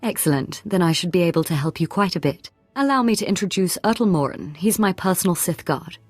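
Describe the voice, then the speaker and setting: A woman's voice: fairly low pitch, lively intonation, fairly quick, no echo, soft background music. A woman playing a poised young noblewoman who leads a house in a video game, speaking in a stately, formal way. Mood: businesslike. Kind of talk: scripted video game dialogue.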